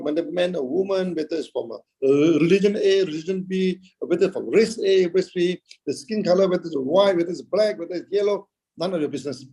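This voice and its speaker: Man, Buddhist teacher, somewhat high, gravelly and dark, halting and full of fillers. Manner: halting, frequent "okay" and "uh", rhetorical questions answered himself. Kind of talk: dharma talk. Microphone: video call.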